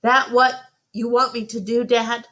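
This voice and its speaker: A woman's voice: fairly high pitch, little pitch movement, unhurried, a bit bright, pitched above the performer's own voice. A woman reading the part of an earnest, stubborn eleven-year-old country boy in a storybook, pleading in folksy phrases. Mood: defiant.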